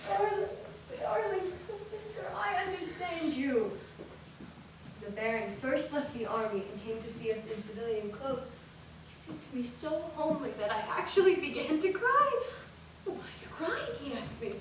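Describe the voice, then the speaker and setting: An actress playing a calm, dutiful elder sister who speaks softly and consolingly on stage. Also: female voice, high-pitched, normal speed. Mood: earnest. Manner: soft, hushed, consoling. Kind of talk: stage acting. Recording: echoey stage from far off, faint noise.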